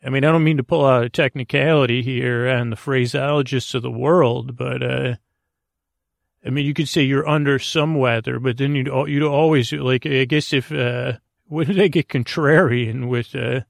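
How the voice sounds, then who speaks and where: A man hosting a bedtime podcast in a rambling monologue, gravelly and hesitant, meandering off into tangents. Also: mid-range voice, steady tone, moderate pace, dry recording, clean studio sound. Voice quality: gravelly, creaky. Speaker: podcast host.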